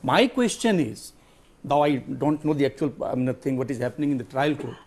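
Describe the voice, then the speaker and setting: A man with an Indian accent speaking as a legal expert on a TV studio panel, explaining procedure haltingly and searching for words. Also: medium pitch, animated, a little mellow.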